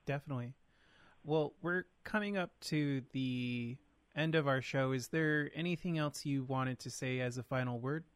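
A man hosts a radio show, relaxed and friendly as he talks. His voice is smooth and clear at a middle pitch. It is recorded close and dry in a studio. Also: moderate pace, no echo. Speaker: radio host, DJ. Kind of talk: radio hosting.

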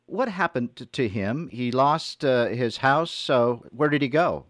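A man hosting a radio interview program, speaking in a measured way with frequent hesitant fillers. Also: mid-range voice, animated, a bit bright, no room sound, clean studio sound. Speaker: radio host. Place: radio studio.

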